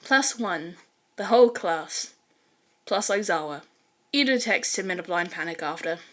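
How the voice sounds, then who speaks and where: A woman's voice: on the low side, animated, measured pace, fairly bright tone. A woman reading fan fiction aloud as a scripted story narration.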